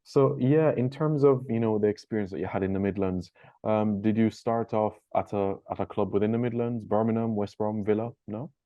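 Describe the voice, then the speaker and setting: A man asking conversational interview questions in a measured, halting way. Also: lowish voice, fairly even intonation, no echo. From Jamaica. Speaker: sports interviewer. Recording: slightly dull lo-fi call audio.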